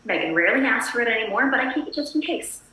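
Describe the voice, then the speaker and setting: A woman's voice: a little high, animated, very fast, pushed and bright, slight background noise. Woman acting chirpy anxious housewife in echoey room. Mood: fussy, apologetic. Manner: prim, apologetic, fussy. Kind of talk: screenplay table read acting.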